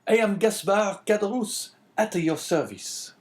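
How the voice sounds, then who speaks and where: A man's voice: high-pitched, animated, a little slow, darkish tone, pitched above the performer's own voice. A man voicing an obsequious, talkative French innkeeper who is eager to please, in a storybook reading. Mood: obliging. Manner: fawning, effusive, talkative.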